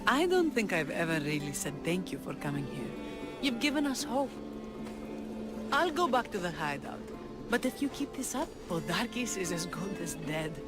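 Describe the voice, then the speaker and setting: A woman's voice: fairly low pitch, very animated, moderate pace. An actress playing a bold, passionate female rebel leader in a video game, with a teasing, fervent delivery. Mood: grateful. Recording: background music under the voice.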